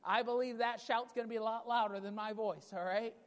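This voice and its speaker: Preacher guy, loud at times, keeps repeating himself, big echoey church. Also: high voice, fairly even intonation, fairly quick. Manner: emphatic, repetitive preaching, builds in intensity. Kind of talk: sermon. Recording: long hall reverb.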